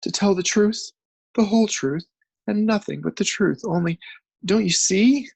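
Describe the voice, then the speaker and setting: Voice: medium pitch, expressive, measured pace, vocal fry, slightly rough, no room sound, voice pushed up, exaggerated voice. A narrator voicing a frantic, weeping old male journalist who whimpers and raves.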